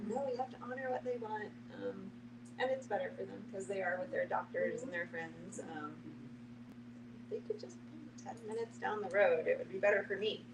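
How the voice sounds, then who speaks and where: A woman's voice: mid-range voice, brisk. A middle-aged woman talking casually in a group conversation. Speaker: discussion participant. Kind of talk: casual chat. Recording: echoey noisy room, distant mic.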